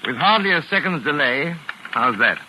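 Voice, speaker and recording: An actor playing a pompous, touchy mystery novelist with precise, exasperated formal diction, in 1940s radio drama style. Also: male voice, fairly high pitch, expressive, normal speed, background noise, close to an everyday voice. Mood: dictating. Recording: raspy vintage 1940s radio recording.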